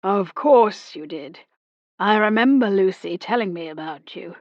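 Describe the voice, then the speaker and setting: Woman doing a gruff old captain, clipped and emphatic, slow delivery, dry muffled recording. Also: medium pitch, animated, dry recording. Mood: confiding. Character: old British army captain, male, brusque and clipped.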